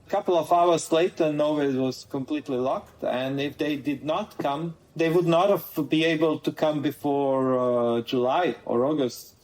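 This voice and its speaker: A man's voice: on the high side, moderate pace, hardly any pauses, a little mellow, echoey room, low-quality recording. A man from Croatia with a Croatian accent, a guest in a conversational interview, earnestly laying out his points in order.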